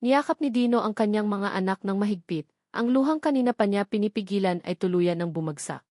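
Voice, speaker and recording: A Filipino woman reads an audiobook drama with dramatic feeling. Her voice sits at an average female pitch. The sound is dry, with no room around it. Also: brisk, warm tone, dry recording. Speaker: audiobook narrator. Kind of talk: scripted dramatic storytelling, Tagalog romance drama.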